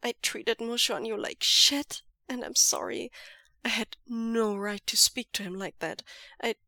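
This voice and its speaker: A woman's voice: fairly high pitch, a little slow, pushed and bright, no echo, studio quality. Woman voicing a stammering remorseful young man. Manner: stammering, voice cracking and choking up.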